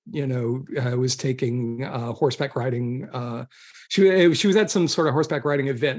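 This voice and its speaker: A man from southern California casually telling stories as a guest author, halting and groping for words as he goes. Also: fairly high pitch. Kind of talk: casual conversational storytelling.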